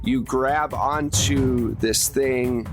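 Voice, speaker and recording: A man narrates a tabletop horror game as its game master. He takes his time, pausing between short phrases as he improvises. Music plays clearly behind his voice. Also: mid-range voice, steady tone. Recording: music playing under the voice.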